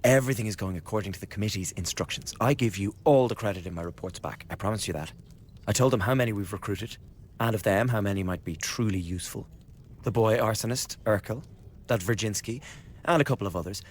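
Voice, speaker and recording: Man acting a glib, low-voiced scheming conspirator. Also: fast, a little hiss or hum, lightly acted. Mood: conspiratorial, coaxing. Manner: chatty, insinuating, blackly flippant. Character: glib, scheming young male revolutionary conspirator. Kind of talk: radio drama acting. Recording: studio radio drama with background ambience.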